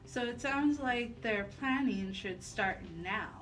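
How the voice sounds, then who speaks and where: A woman's voice: fairly high pitch, slow. A woman co-hosting a talk radio show, presenting in a promotional announcing style. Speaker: radio co-host. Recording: music bed under the voice. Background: music.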